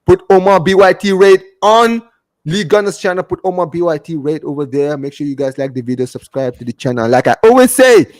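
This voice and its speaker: A man's voice: fairly high pitch, lively intonation, a little fast, a little mellow, no room sound. A man hosting a live football fan show in casual, slangy chat, often repeating himself and stumbling as he reads out comments. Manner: slangy, repeatedly addressing listeners as man, bro and guys; stumbling, repetitive delivery. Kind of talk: casual livestream chat, reading out viewer comments.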